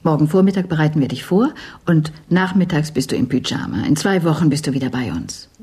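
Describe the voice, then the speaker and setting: A woman playing a composed, firm ship's biomedic in a German radio drama, explaining clinically in a husky voice. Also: sits low, expressive, fairly quick, mellow. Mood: calm, firm.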